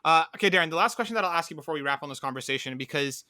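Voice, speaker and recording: A man's voice: fairly high pitch, lively intonation, very fast, pushed and bright, no echo, studio quality. A man hosting a podcast, talking casually and inquisitively, often checking that others follow him. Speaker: podcast host. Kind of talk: casual discussion, posing questions.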